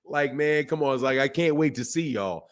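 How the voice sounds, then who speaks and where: A hoarse-voiced man runs a boxing talk stream, chatting straight to his viewers. His pitch sits in the middle range for a man. He hammers his points with repetition and peppers his talk with "bro" and "man.". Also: expressive, moderate pace. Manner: emphatic, repeats himself, calls listeners bro and man. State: hoarse, voice shot from yelling. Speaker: boxing channel host. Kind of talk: casual livestream commentary reading chat.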